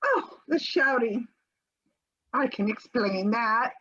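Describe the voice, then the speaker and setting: Woman playing a flighty housewife, high voice, wavering and giggly, slow unhurried lines, lo-fi call audio. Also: lively intonation, a bit bright, heavily acted. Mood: airy. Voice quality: quavering, giggly delivery. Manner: chattery and airily evasive. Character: flighty, evasive middle-aged housewife, breezily unconcerned. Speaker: reader in an amateur readers theater performance. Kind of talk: comic readers theater scene read over a video call. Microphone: home video call mic.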